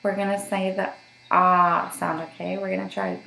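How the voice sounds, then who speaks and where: A woman's voice: fairly low pitch, fairly even intonation, moderate pace, creaky, sharp tone, echoey room, slight background noise, low-quality recording. A woman working as a voice therapist, giving patient step-by-step instructions for voice exercises and checking in often. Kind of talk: guided voice therapy session.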